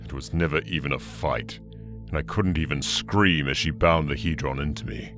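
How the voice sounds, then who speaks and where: Ancient demon, cavernously low voice, bitter, contemptuous, slow menacing audio drama monologue, music underneath. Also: male voice. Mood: resentful. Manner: slow, menacing, brooding delivery.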